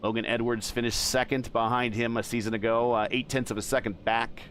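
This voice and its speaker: A man doing live play-by-play commentary for a virtual truck race, chatty and quick to react to the action. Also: medium pitch, slight background noise. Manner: excitable play-by-play, chatty with stream chat. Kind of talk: live sim racing commentary.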